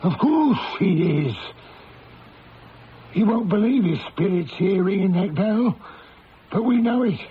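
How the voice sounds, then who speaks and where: An actor playing a superstitious village tavern keeper with a rustic, foreboding manner in a radio drama. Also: male voice, high-pitched, animated, slow pace, long pauses. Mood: ominous. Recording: dull lo-fi old radio, faint noise.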